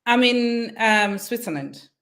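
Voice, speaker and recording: A woman, a parenting coach speaking as a guest on a live online interview over a video call, enthusiastic and quick to laugh. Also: a little high, lively intonation, a loud crowd. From Zimbabwe. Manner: warm and enthusiastic, laughs easily.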